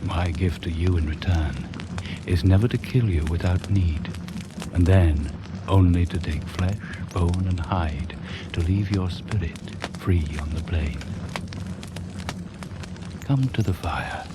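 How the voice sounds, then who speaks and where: An actor playing a solemn hunter-shaman in a 1980s radio drama, chanting slow, ceremonial poetic invocations. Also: sits low. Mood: solemn reverence. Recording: noisy old off-air radio recording.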